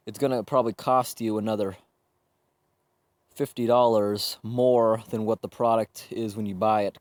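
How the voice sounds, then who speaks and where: A man casually demonstrating a product in a plain, informal, explaining way. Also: medium pitch. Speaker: gadget reviewer. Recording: noisy handheld amateur recording.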